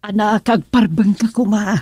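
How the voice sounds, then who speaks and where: A woman's voice: mid-range voice, a little slow, warm tone, breathy edge, no room sound, clean studio sound. An actress playing a firm, protective middle-aged mother in an Ilocano radio drama, with an Ilocano accent and an admonishing manner. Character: older mother giving firm advice, scolding, protective.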